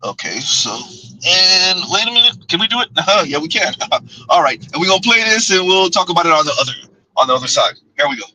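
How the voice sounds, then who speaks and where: Male stream host, high and sharp-toned, casual chat-reading commentary, laughs a lot. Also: sing-song, fast, a little hiss or hum, rough recording. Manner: laughs often, talks back to chat. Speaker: livestream host.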